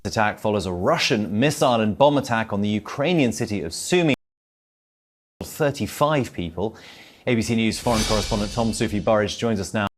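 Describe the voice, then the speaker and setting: A man's voice: fairly low pitch, expressive, fairly quick. Male news broadcaster over music. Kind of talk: scripted news report.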